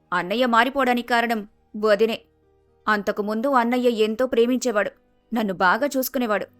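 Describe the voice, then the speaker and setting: A woman voicing a proud, resentful young daughter in an animated moral story, talking in an accusing way. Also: fairly high pitch, close to an everyday voice. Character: resentful, proud young daughter blaming her sister-in-law.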